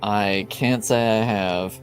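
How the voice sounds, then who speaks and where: A man playing a reserved, wary Templar in improvised tabletop roleplay, speaking in an understated, deadpan way. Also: lowish voice, slow, faint music behind. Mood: bemused. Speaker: game master.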